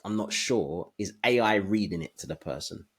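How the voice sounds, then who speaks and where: A man's voice: lowish voice, animated, normal speed, breathy edge. A man co-hosting a casual podcast discussion, thinking aloud hesitantly in broken phrases. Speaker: podcast co-host.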